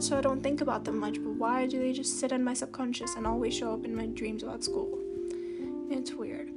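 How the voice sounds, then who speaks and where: A teenage girl with a soft, baby-like voice pitched well up high, rambling through a casual podcast monologue full of "like" and false starts. She talks from home, and loud plucked guitar music plays behind her the whole time. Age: teens. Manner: chatty, meandering, heavy use of "like" and restarts. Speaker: podcast host.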